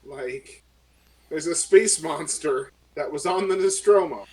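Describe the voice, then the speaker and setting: A man discussing things casually and haltingly as a player in a tabletop game. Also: on the high side, a little mellow, recorded from a distance, poor audio.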